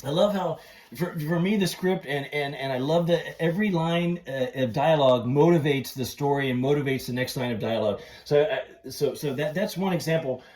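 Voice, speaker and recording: A man co-hosting a casual fan podcast about a film, effusive and stammering with excitement, often breaking into giggly laughter. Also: fairly high pitch, moderate pace. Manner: repetitive, stammering excited delivery. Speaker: podcast host.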